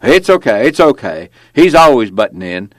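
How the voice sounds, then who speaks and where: A man's voice: mid-range voice, very animated, fairly bright tone, no echo, pitched above the performer's own voice. Audiobook role: male narrator as a pushy backwoods lawman, awkward and folksy, slurring and tripping over his words, recorded dry. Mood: frustrated, placating. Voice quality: slurred, far from crisp diction. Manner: folksy, halting, stuttering. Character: belligerent, awkward rural Virginia male cop.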